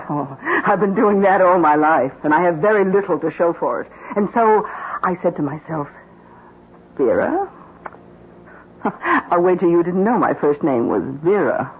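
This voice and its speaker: An actress playing a scheming middle-aged housekeeper in an old-time radio mystery, with deliberate, insinuating, theatrical phrasing. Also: female voice, lowish voice, very animated, a little hiss or hum. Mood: smug, confiding. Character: scheming middle-aged housekeeper, coolly blackmailing her employer. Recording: muffled old radio recording.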